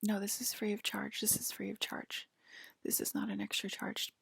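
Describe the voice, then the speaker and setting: A woman performs an intimate ASMR roleplay, slipping between whisper and soft speech. Her voice is heavily creaky and breathy, with a dry, close sound. She talks in a reassuring, clinical patter, pausing to check that the listener is okay. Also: medium pitch, monotone, no echo.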